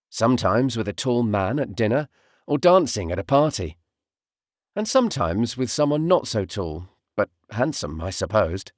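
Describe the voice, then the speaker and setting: A male narrator reads a first-person story for an audiobook. His pitch moves expressively across phrases that are measured and deliberate. The sound is dry, with no room around it. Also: darkish tone, no room sound.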